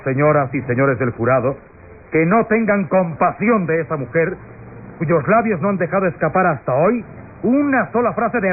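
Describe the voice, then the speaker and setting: An actor playing a stern, accusing prosecutor who addresses a jury with pompous, emphatic radio drama oratory. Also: male voice, fairly high pitch, close to an everyday voice. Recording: tinny, noisy old radio recording.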